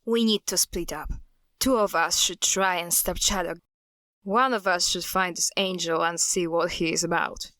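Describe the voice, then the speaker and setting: A woman's voice: on the low side, steady tone, moderate pace, pushed and bright, no room sound. A woman playing a blunt, guarded cult hunter in an audio drama, speaking in a terse, matter-of-fact way. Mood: firm.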